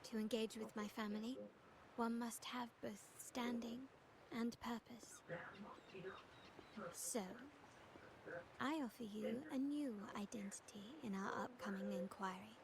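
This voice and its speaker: Poised high-voiced young heiress. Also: female voice, steady tone, a crowd in the background, rough recording. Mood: formal, composed. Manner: measured, formal, deliberate. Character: poised, calculating young heiress of a powerful family. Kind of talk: scripted game dialogue.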